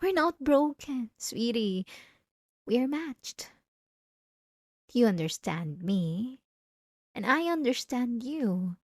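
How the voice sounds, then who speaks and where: A woman's voice: a little high, big pitch swings, darkish tone, dry recording, studio quality, heavily acted. A woman playing a possessive, obsessive wife in an intimate, theatrical ASMR roleplay monologue. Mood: dark, intimate. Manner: intimate, theatrical, drawn-out emphatic phrases. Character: possessive, obsessive yandere wife confronting her spouse.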